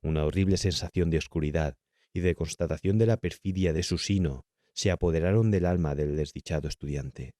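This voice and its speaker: A man's voice: deep voice, a little fast, dark tone, no room sound, studio quality. A man with a Castilian Spanish accent reading a horror audiobook as a dramatic narrator.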